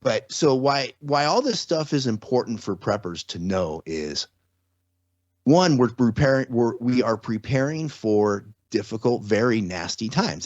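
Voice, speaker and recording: A man's voice: fairly low pitch, no echo. Man explaining casually, slightly dull close sound. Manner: rhetorical check-ins, repeats and restarts phrases. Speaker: podcast guest, trauma survivor and former security contractor.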